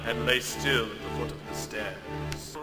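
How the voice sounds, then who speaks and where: A man's voice: medium pitch, fairly even intonation, a little slow. A man narrating a melodramatic story as scripted, in a theatrical, emphatic way. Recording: long reverb, music behind.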